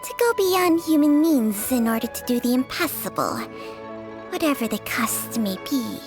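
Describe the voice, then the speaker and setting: A solemn old snake spirit. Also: female voice, high voice, animated, over music. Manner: solemn, measured, philosophical. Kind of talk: video game voice acting.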